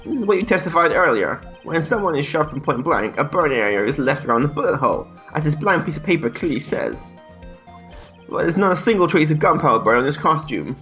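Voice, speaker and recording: A man's voice: a little high, very animated, brisk, fairly bright tone, soft background music. A young man hosting a let's play, joking crudely and reading dialogue aloud. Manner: irreverent, crude, laughing often.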